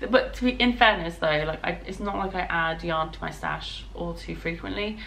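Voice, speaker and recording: Hoarse, low-pitched British woman vlogger. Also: moderate pace, a bit bright, a little hiss or hum, poor audio. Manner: rambling, chatty. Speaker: knitting vlogger and designer. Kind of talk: casual vlog chat.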